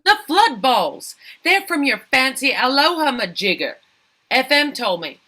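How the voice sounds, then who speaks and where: A brash mama rat acted by a woman with a bright, forceful, highish voice. She is scrappy and sarcastic, a slangy cartoon scavenger always ready for an argument. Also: big pitch swings, a little slow, exaggerated voice. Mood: scornful. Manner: combative, sarcastic, folksy slangy diction. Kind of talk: animated comedy table read.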